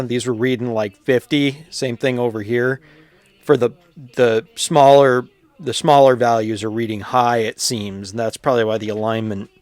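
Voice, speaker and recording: A man with a mid-to-low voice talks casually through hands-on repair work. He is plainspoken and unscripted, pausing to think aloud as he goes. The sound is dry and close, with little room in it. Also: dry recording. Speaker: hobbyist radio repairer presenting his channel.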